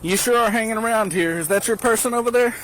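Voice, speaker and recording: Male police officer, fairly high voice, informal field talk, clearly noisy body-camera recording. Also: quick pace. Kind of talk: spontaneous on-scene talk and radio calls. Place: outdoors at night scene. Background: loud ambient noise, radio, vehicles.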